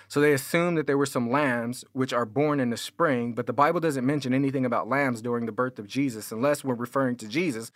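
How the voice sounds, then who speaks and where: Male American pastor, middling pitch, informal and argumentative teaching sermon, very little room sound. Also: dry recording, studio quality. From California. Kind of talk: sermon, explanatory apologetics teaching.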